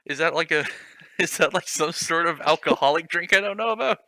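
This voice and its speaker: Guy reading some cringey story out loud and roasting it, piercing bright tone, pretty high pitched, swears a lot. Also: a little fast, no echo. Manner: sarcastic, swears, laughs at the text. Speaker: reader of a fan story. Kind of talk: mocking dramatic reading with commentary.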